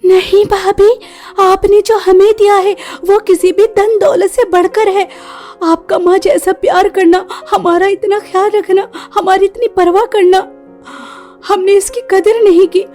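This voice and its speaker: Woman plays devoted young cartoon bride-to-be, very high, emotional, pleading, music behind. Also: steady tone, unhurried, dark tone. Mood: remorseful, grateful. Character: grateful, devoted young bride-to-be sisters-in-law. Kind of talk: cartoon story dialogue.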